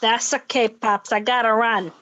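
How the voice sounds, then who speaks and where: Woman playing a sulky, high-voiced schoolboy. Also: steady tone, normal speed, sharp tone. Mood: casual. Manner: petulant, childish complaining. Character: sulky schoolboy son of a mobster. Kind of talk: amateur old-time radio comedy play.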